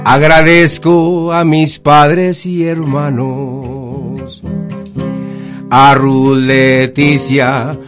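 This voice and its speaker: A man, a singer-songwriter guest singing a heartfelt ballad in a slow, sincere way. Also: medium pitch, flat delivery. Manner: slow, heartfelt sung delivery. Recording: guitar accompaniment behind voice.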